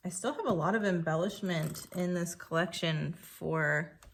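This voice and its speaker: A woman with a slightly croaky voice, pitched a little low for a woman. She chats casually and thinks aloud as she works through a crafting project. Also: normal speed. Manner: chatty, thinking aloud while working. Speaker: scrapbooking creator.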